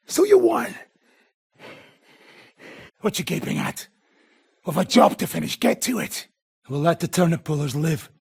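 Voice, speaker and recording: A man playing a gruff, foul-mouthed, menacing mercenary in a video game, with a gravelly, raspy voice and a coarse, swaggering, sardonic manner. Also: on the high side, no room sound, clean studio sound, full character voice. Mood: gruff, commanding. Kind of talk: video game character acting.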